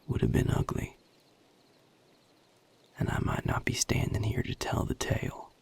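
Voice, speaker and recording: A man playing a guarded, world-weary vampire in an intimate audio roleplay, with a Southern US accent and a breathy, gravelly drawl, speaking in measured, hushed phrases. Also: in a whisper, lightly acted. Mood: grave warning. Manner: measured, hushed, plain-spoken with deliberate pauses. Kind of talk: intimate audio roleplay acting addressed to the listener.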